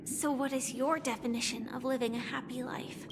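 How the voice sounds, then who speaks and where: A woman's voice: high voice, steady tone, moderate pace, very noisy. An actress playing a polite, composed young woman in a video game who questions others in a formal, skeptical way. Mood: composed doubt. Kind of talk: dramatic game voice acting. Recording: studio voice under loud music. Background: loud game music.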